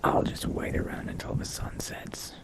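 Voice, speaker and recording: A man voicing a pensive, solitary vampire adventurer in a game roleplay, musing to himself in a breathy, gravelly half-whisper. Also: moderate pace, natural voice. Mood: musing, subdued. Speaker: player role-playing in a video game. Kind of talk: in-character game roleplay monologue.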